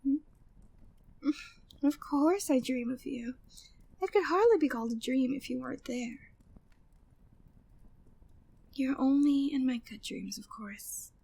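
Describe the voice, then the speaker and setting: High-voiced flirty, devoted maid played by a woman. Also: takes it slowly, pauses often, mellow, exaggerated voice. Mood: smitten, teasing. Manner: formal, genteel phrasing, breathy sighs, teasing. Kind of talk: intimate ASMR roleplay, scripted monologue.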